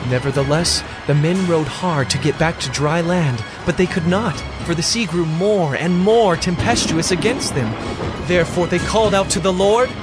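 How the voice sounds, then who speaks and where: A man's voice: a little high, moderate pace. A man giving dramatic scripted narration for a scripture audio drama, with music behind.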